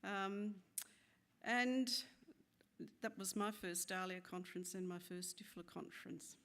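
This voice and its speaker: A woman's voice: mid-range voice, measured pace, fairly bright tone. A woman introducing the keynote speakers at a conference, informal, with some fillers.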